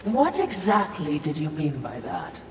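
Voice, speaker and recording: A deferential servant in a fantasy game. Her voice is low and slow, with a curious, questioning manner. She sounds off the mic in an echoing space. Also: wildly swooping pitch, exaggerated voice. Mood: curious, wary. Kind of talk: dramatic video game cutscene acting. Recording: distant, reverberant, clearly noisy game capture.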